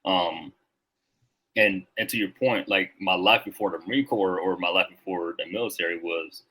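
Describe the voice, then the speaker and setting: A man's voice: low voice, normal speed, bright, forceful tone, breathy edge. A man in his thirties from Rwanda, a Marine veteran guest talking casually and reflectively on a podcast, with lots of filler words.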